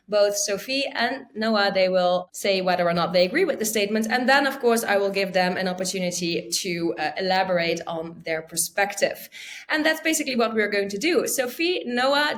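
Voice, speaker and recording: Woman host of a debate podcast, clear and upbeat, sets things up neatly. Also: medium pitch, fairly bright tone. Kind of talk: hosting a debate podcast, reading prepared context.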